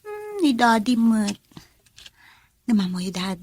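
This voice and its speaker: An actress playing an affectionate young student daughter in love, in an Ilocano radio drama. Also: female voice, fairly high pitch, very animated, takes it slowly, darkish tone, exaggerated voice.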